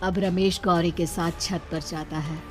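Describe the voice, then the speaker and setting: A woman's voice: on the low side, fairly even intonation, measured pace, music in the background. A woman giving dramatic, scripted narration of a cartoon story as its storyteller.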